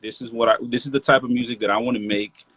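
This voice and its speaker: A man's voice: medium pitch, fairly even intonation, fast, fairly bright tone, husky, no room sound. A man in his late twenties, a rapper from Maryland, talking loosely in a casual interview, full of "like" and "you feel me". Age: 20s. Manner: laid-back, loose, peppered with "like" and "you feel me".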